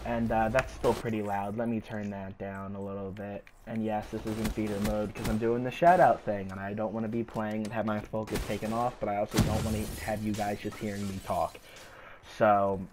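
A man's voice: lowish voice, level tone, fairly quick. Scratchy-throated young guy rambling over gameplay. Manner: rambling, hesitant, filler-heavy. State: sick with a sore, scratchy throat. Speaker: gaming YouTuber. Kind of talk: casual gameplay commentary.

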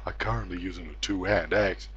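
A man's voice: sits low, steady tone, a little fast, fairly bright tone, breathy edge, highs cut off. A man doing an exaggerated, profane, mocking read-aloud of game forum posts.

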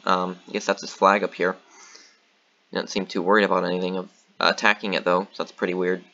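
A man's voice: low voice, fast talker, muffled recording. A man giving casual commentary on his own board game match, thinking aloud with lots of ums and uhs. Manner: thinking aloud, relaxed, many fillers.

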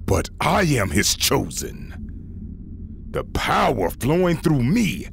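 A man's voice: mid-range voice, huge pitch swings, slow, creaky, slight background noise, heavily acted. A man playing a zealous, grandiose villain in an audio drama, proud and menacing. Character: zealous villain, proud chosen servant of dark power.

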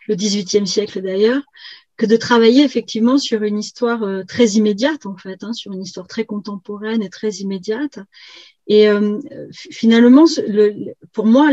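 A middle-aged woman speaking haltingly in an academic discussion, repeating words as she searches for phrasing. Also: mid-range voice, warm tone, no echo. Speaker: historian and book co-author being interviewed. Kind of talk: academic discussion on a video call. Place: indoors on a video call, virtual background. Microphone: computer video call. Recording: slightly muffled video-call audio.

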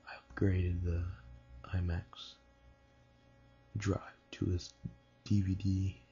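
A man whispering a casual late-night tech vlog in a halting way, as if thinking aloud. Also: sits low, monotone, vocal fry, warm tone, dull audio. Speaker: amateur tech vlogger.

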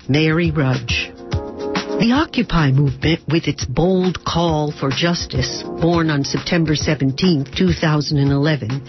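A woman announcer reading a scripted radio introduction. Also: deep voice. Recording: dull lo-fi radio recording. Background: music.

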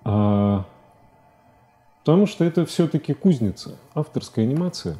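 A man in his forties talking as a guest in a studio interview, halting and repeating words as he searches for phrasing. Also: mid-range voice, big pitch swings, warm tone, soft background music. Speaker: interview guest, animation director. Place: TV studio at a desk. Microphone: lapel mic.

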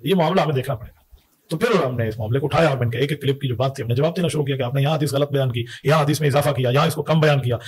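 A man in his thirties with a Pakistani accent, talking insistently and argumentatively on a podcast. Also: a little high. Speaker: podcast guest, mufti. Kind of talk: podcast conversation. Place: indoor podcast studio. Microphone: close boom-arm podcast mic.

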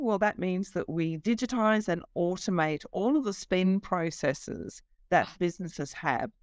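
A woman's voice: on the low side, smooth, no room sound. A middle-aged woman, a company CEO, explaining her business over a video call, fluent but with many fillers. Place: office.

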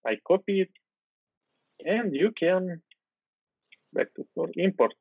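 A Ukrainian-native man in his thirties talks through code in a relaxed way, with fillers and restarts. He sits at home on a video call, and the sound is thin and phone-like. The audio is dry, with no room echo. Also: sits high, takes it slowly, creaky, slightly rough. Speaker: guest software developer. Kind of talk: casual screen-share coding chat.

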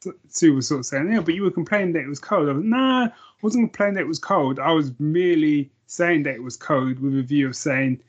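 Easygoing man with a husky voice. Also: a little high, quick pace, lo-fi sound. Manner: easygoing, rambling, self-deprecating. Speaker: podcast co-host. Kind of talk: casual chat.

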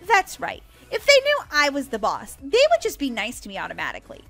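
A woman's voice: high-pitched, wildly swooping pitch, fairly quick, creaky, pushed and bright, faint music behind, heavily acted. A woman voicing a polite, sweet, earnest young female shop boss in a video game roleplay. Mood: earnest, confident.

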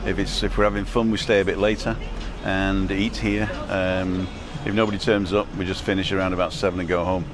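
A man's voice: deep voice, level tone, fairly quick, hardly any pauses. A middle-aged man talking to camera outdoors in a casual, rambling vlog style. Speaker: vlogger. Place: outdoors at a bus stop and a bar terrace.

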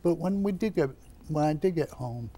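A man, reminiscing haltingly as a guest in a television studio interview. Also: fairly high pitch, expressive, dark tone. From Brooklyn, New York. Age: 70s. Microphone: lapel mic.

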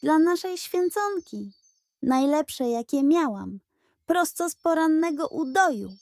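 A woman's voice: sits high, animated, slow, darkish tone, no echo, pitched above the performer's own voice, heavily acted. A woman voicing an elegant, good-humoured female cow with a teasing manner in a children's story. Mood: proud.

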